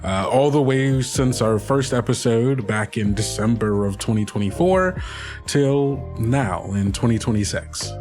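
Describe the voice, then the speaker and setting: A man's voice: mid-range voice, lively intonation, a little slow, darkish tone. A man narrating and hosting an actual-play fiction podcast as its game master. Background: music under the voice.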